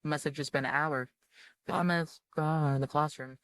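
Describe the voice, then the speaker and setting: A woman reading visual novel narration aloud in an informal, halting way, often stumbling over her words. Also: low-pitched, steady tone, dry recording. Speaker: video game streamer reading aloud.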